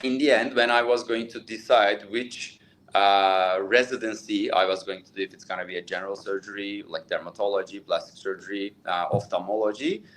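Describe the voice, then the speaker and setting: A man's voice: on the low side, steady tone, fairly quick, fairly bright tone, a little hiss or hum, poor audio. A man in his thirties with a Turkish accent, a plastic surgeon answering interview questions in English at length, with many fillers and restarts. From Turkey.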